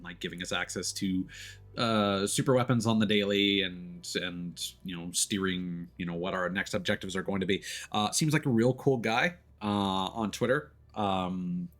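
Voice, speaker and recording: Lively man streaming a game. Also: sits low. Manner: chatty, hesitant mid-thought, playful exclamations. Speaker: video game live streamer. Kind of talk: casual gameplay commentary and chat. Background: faint game music.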